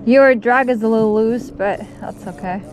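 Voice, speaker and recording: A woman's voice: on the high side, normal speed, a little hiss or hum. A woman vlogging on a fishing trip from a boat on a lake, chatty and cheerful, laughing often. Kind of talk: casual outdoor vlog narration.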